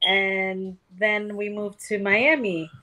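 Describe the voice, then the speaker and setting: A woman's voice: medium pitch, fairly even intonation, slow pace, pushed and bright. A middle-aged woman guest from New York on a casual podcast, telling her story candidly and swearing freely. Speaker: guest author.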